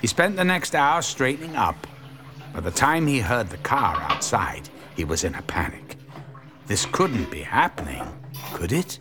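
A man's voice: medium pitch, sing-song, measured pace. A man playing the storyteller of a suspenseful horror audio drama in scripted dramatic narration. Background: music.

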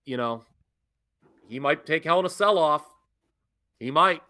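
Young adult man, podcast co-host, lively swooping pitch, bright tense tone, incredulous rants. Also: a little slow. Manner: animated, incredulous ranting. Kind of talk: casual wrestling review chat.

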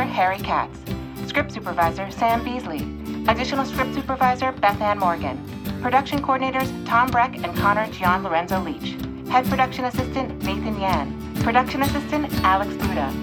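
A woman announcer reading the end credits in a steady, even manner. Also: fairly high pitch.

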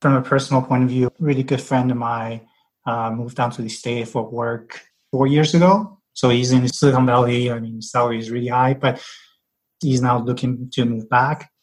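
Male guest from Taiwan, calm explainer, middle-pitched voice. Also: moderate pace, low-quality recording. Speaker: personal finance blogger and podcast guest. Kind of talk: casual panel discussion.

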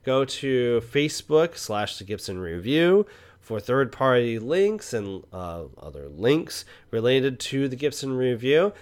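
A man's voice: mid-range voice, big pitch swings, a little slow. A man hosting a film review podcast in casual conversation, often checking in with short questions. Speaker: podcast host.

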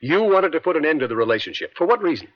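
Probing, expressive male prosecutor. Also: a little high. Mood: probing, pointed. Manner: deliberate, probing, theatrical questioning. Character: probing male district attorney, insistent and pointed. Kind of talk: old-time radio drama acting. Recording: dull lo-fi vintage radio.

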